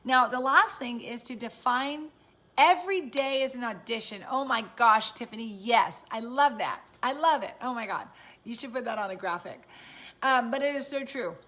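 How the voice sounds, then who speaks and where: A woman with a raspy voice, chatting casually and encouragingly to viewers on a live stream from home. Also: on the high side, moderate pace, fairly bright tone. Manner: chatty and encouraging, many fillers. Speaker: live-stream host and business coach.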